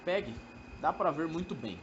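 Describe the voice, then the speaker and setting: A man acting a calm, sly, sinister young man in an amateur radio drama, speaking slowly and with soft mockery. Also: a little high, lightly acted. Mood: coaxing. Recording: dull lo-fi amateur recording, clear noise.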